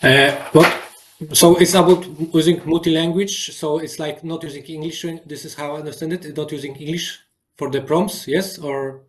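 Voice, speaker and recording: Polish man explaining in halting English. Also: on the high side, fairly even intonation, a little hiss or hum. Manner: halting non-native phrasing, frequent restarts. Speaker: guest speaker, DevOps engineer. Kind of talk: live webinar demo and Q&A. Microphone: video call.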